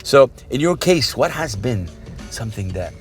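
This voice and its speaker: A man from Saudi Arabia hosting a casual interview in a car, rambling and warm as he talks about his past. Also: mid-range voice, sing-song, moderate pace. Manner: rambling, warm, restarts often. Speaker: podcast host. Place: inside a car. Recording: car interior with music behind.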